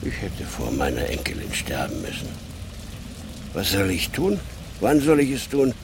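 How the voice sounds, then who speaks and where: Vengeful old grandfather, low, swinging delivery. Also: huge pitch swings, normal speed, vocal fry, background noise, exaggerated voice. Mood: grim resolve. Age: elderly. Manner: bitter, terse, volatile. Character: very old, drunk, grieving grandfather bent on revenge. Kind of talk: radio drama acting.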